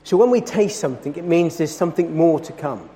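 Loud high-voiced preacher. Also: male voice, expressive. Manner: earnest, emphatic, slows on scripture. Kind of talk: sermon. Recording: long hall reverb.

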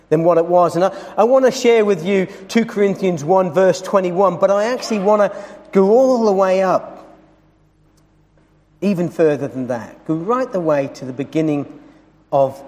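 Man, preacher, loud voice, emphatic, lingers on scripture, reverberant church hall. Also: sits high. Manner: earnest, emphatic, slows on scripture. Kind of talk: sermon.

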